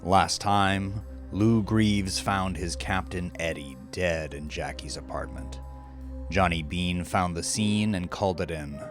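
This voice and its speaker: A man announces and narrates a horror audiodrama. He speaks slowly in a very low voice, with deliberate, theatrical phrasing. Music runs beneath his scripted delivery. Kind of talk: scripted recap and story narration. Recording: music under the voice.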